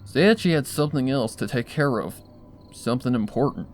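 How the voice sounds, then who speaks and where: A man's voice: mid-range voice, expressive, normal speed, slight background noise, close to an everyday voice. Applejack, folksy stern mare, read by a man. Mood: wary, apologetic. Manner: folksy, firm, plainspoken. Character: steady, stern, folksy female country pony.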